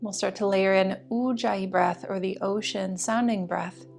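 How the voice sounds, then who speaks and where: A woman leads a restorative yoga practice as a teacher. Her phrasing is soothing and deliberate, at a medium pitch, with music playing behind her voice. Each instruction is given slowly, in the manner of a guided meditation. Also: steady tone, gravelly, darkish tone.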